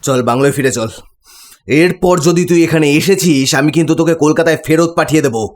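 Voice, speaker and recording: A man's voice: brisk, natural voice. A man acting an angry, possessive young friend with a commanding, menacing manner in a horror audio drama. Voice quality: booming voice.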